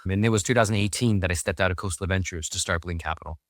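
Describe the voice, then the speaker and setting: A man from Taiwan with a low voice answers interview questions. He speaks in a thoughtful, measured way, often adding "I think." The sound is close and dry. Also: no echo. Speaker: guest investor.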